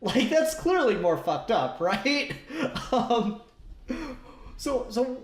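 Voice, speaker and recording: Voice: mid-range voice, very animated, very slow, roomy sound. A podcast co-host in casual, agreeable conversation about comic books. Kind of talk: casual comic book discussion.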